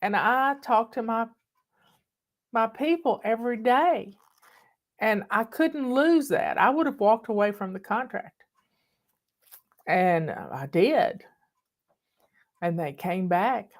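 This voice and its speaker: A woman's voice: a little high, animated, slow, long pauses. An older woman from the American South talks to her online followers in a thick Southern accent. She tells stories in a folksy, chatty way, calling people "y'all" and owning up cheerfully to being bossy. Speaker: online host. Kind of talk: casual storytelling chat. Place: home in the mountains.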